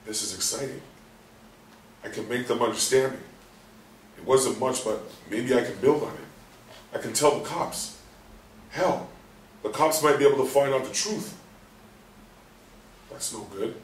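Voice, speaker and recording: A man's voice: mid-range voice, unhurried, long pauses, natural voice. A man in a staged play reading, playing a despairing, sardonic hospital patient who broods and questions himself in coarse language. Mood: excited, anxious. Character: bitter, despairing, sardonic male hospital patient trapped in his body. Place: live stage reading in a theatre room. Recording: roomy stage, distant mic, faint noise.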